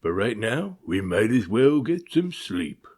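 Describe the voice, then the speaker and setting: A man voicing a gruff, growling ship's captain in a storybook, folksy and resigned. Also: fairly low pitch, very animated, measured pace, darkish tone, full character voice.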